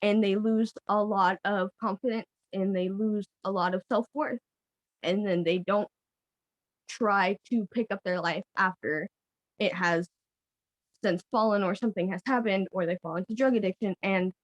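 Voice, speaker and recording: A woman's voice: mid-range voice, moderate pace, dry recording, muffled recording. Young woman panelist, heartfelt and plain-spoken, with a dry, close sound. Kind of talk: panel discussion.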